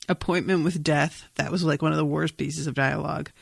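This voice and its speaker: Female podcast co-host, lowish gravelly voice, wry and emphatic in casual chat. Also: steady tone, brisk, dry recording, studio quality. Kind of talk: casual chat about a TV episode.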